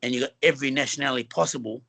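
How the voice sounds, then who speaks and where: Earnest Australian man, bright voice. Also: medium pitch, fairly even intonation, very fast, gravelly. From Western Sydney, Australia. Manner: earnest, rambling, many fillers and restarts. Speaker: film actor and guest. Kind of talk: casual interview conversation.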